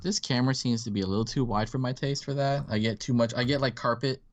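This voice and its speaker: A man's voice: medium pitch, fairly even intonation, fast talker. A man from Dallas, a guest artist chatting casually on a live drawing stream, rambling and swearing now and then. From Dallas, Texas.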